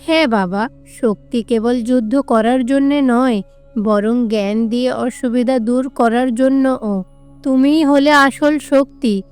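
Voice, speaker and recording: A woman acts a gentle mother goddess in a cartoon tale. Her high, smooth voice coaxes and encourages in a soothing way, with soft music behind. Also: takes it slowly. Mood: gentle, encouraging.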